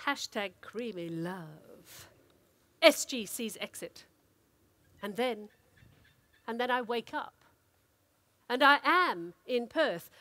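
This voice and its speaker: A woman performing a dramatic, expressive reading of a comic story as an actor. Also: a little high, slow, pauses often, no room sound, exaggerated voice. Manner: theatrical, expressive delivery.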